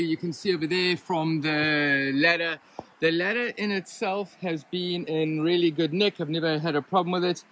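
A chatty man in his forties talks to a phone held at arm's length, his voice pitched a little higher than most men's. He rambles along in a loose, easygoing review, full of ums and self-corrections. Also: pushed and bright. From Southern Africa. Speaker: vlogger reviewing his rooftop tent. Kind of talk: casual hands-on gear review. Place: inside a rooftop tent, then outdoors beside a vehicle in the bush. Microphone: handheld phone camera at arm's length.

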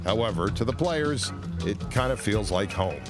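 A man's voice: on the low side, lively intonation, moderate pace, music in the background. A man narrating a sports feature in a scripted reporter delivery.

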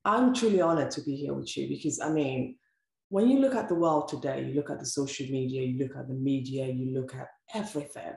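A low, gravelly-voiced woman hosts an interview with effusive energy and piles up rhetorical questions. The room around her is echoey, and faint music plays behind her. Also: a little fast, darkish tone. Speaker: host. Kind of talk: enthusiastic interview hosting. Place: room with sofa, indoors.

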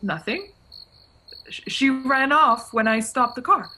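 A woman's voice: high-pitched, expressive, slow pace, bright, forceful tone, a little hiss or hum, heavily acted. A woman playing a haunted, anxious young driver who tells her story in a suspense radio play, earnest and nervous. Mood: nervous, pleading.

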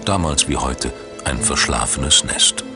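A man's voice: rumbling low, unhurried, music in the background. A man reading scripted narration as the narrator of a documentary.

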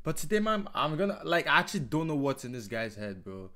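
A young man hosting a casual reaction video from his bedroom, talking excitedly to viewers. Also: on the high side, fast. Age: young adult. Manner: excitable, talks to viewers directly, says bro and guys a lot. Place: small bedroom with red lighting. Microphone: over-ear headset.

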